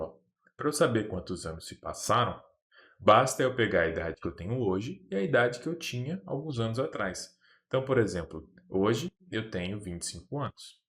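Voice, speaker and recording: A man's voice: on the low side, a bit bright. A man with a Brazilian Portuguese accent teaching a math lesson step by step, patiently checking that the viewer follows. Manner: patient, keeps checking in with "beleza?".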